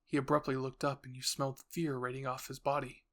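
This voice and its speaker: A man's voice: medium pitch, level tone, fast, fairly bright tone. A man reading scripted dramatic story narration, addressing the listener as "you".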